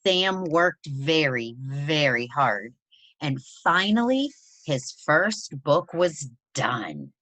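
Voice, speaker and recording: A woman with a low voice reads a picture book to children. Her delivery is unhurried and highly animated in pitch, with deliberate stress on key words. The sound is close and dry. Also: dry recording. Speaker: presenter reading a children's picture book aloud. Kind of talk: expressive read-aloud storytelling for children.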